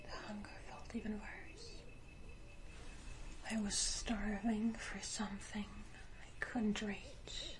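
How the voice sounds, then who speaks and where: A woman playing a sinister, wounded yet condescending female vampire in a whispered ASMR roleplay, speaking deliberately with theatrical pauses. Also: mid-range voice, natural voice. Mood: haunted, bitter. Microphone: close ASMR microphone.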